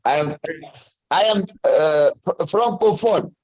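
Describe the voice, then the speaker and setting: A man's voice: high voice, takes it slowly, darkish tone, low-quality recording. A man from Tunisia, a student in an online class, answering in short repeated phrases over a video call. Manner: brief, repeats himself.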